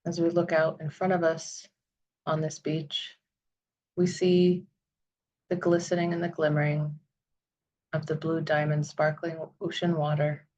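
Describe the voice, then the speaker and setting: A woman leading a guided visualization meditation, speaking in soothing, deliberate phrases with long pauses. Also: deep voice, flat delivery, a little mellow.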